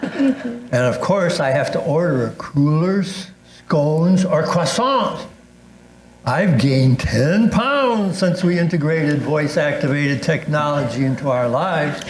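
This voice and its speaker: In an echoey room with faint noise, a man plays a droll older husband in a stage reading. His fairly high voice swings widely in pitch as he grumbles with wry timing. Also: expressive, takes it slowly. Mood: wry grumbling. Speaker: amateur readers theatre performer.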